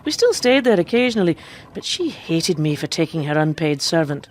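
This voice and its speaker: A woman's voice: low-pitched, big pitch swings, a crowd in the background. An actress playing a defiant, cheeky woman quack doctor who lives as a man, with wry, knowing delivery in a radio drama. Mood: wry, confiding. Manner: wry, knowing storytelling, quick retorts. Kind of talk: radio drama acting and first-person narration.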